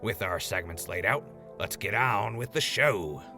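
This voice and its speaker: A man playing a gravelly wasteland radio host. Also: lowish voice, lively intonation, a little slow. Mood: chipper. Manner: upbeat, wry announcer patter. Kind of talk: audio drama radio show. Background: music.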